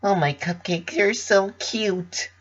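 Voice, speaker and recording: A man plays a young female cartoon pony, cheerful and affectionate. The voice sits high and bubbles with doting sweetness. The recording is slightly dull. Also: slow pace, fairly bright tone, husky, heavily acted. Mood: delighted, affectionate.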